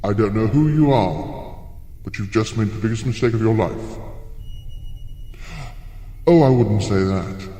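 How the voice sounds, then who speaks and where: A man's voice: on the low side, measured pace, long pauses, warm tone, lightly acted. Man playing a smug villain in cavernous echo. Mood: smug menace. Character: vengeful alien villain confronting his own younger self. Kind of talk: audio drama acting. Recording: very echoey hall-like reverb, faint music.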